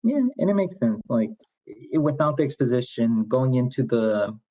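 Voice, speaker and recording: A man's voice: medium pitch, animated, moderate pace, dark tone. A man chatting casually in a group discussion, tentative and often rephrasing himself, on a muffled lo-fi microphone. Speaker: participant in a script discussion. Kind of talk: casual chat.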